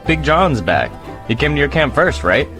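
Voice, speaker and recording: A man's voice: fairly high pitch, big pitch swings, normal speed, bright, forceful tone, soft background music. A man playing a breezy, slangy camper in an audio drama, talking very casually. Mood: casual, reluctant. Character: Greg, breezy slangy young male camper.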